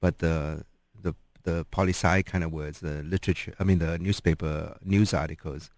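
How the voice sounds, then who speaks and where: A man with a very deep voice hosts a relaxed interview. The sound is close and dry. He talks thoughtfully, pausing on ums as he searches for words. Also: no echo. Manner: thoughtful, hesitant, many ums. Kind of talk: casual interview chat.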